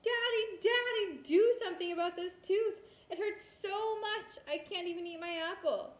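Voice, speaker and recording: A woman voicing a whiny little boy in a storybook, yelling and pleading for help with a painful loose tooth. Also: very high-pitched, moderate pace, pitched above the performer's own voice. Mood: pained, pleading. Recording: roomy echo.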